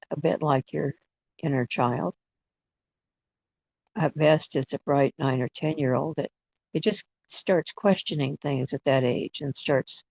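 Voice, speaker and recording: A person leading a guided imagery meditation in a soothing manner, with long pauses between short phrases. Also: mid-range voice, gravelly, darkish tone, no room sound. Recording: dull, dry lo-fi recording.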